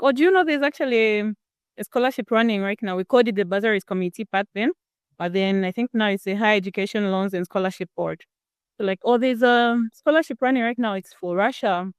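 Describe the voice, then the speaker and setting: A young woman from Zambia, a guest scholar telling her story in a lively, conversational way. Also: mid-range voice, smooth, no echo, studio quality. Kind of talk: conversational storytelling on a video call.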